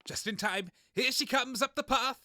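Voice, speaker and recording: A man's voice: very high-pitched, normal speed, heavy background noise. A man playing a grumpy, shameless little radio host in scripted comedy banter, blustering and dismissive. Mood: showy.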